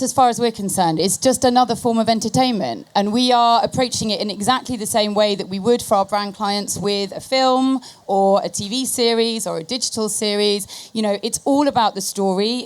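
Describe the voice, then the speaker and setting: A woman's voice: medium pitch, normal speed. A woman marketing executive giving explanatory, conversational answers as a panellist.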